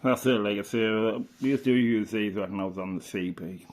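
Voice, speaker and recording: Rambling middle-aged British man, lowish voice. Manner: rambling and unhurried, with many fillers and restarts. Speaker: radio hobbyist leafing through a catalogue. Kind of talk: casual reminiscing commentary.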